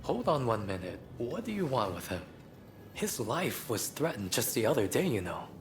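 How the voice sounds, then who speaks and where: An actor playing an opinionated, cautious samurai scholar in a video game cutscene, chatty and slightly formal. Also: male voice, mid-range voice, very animated, moderate pace, over music, natural voice. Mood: wary, indignant.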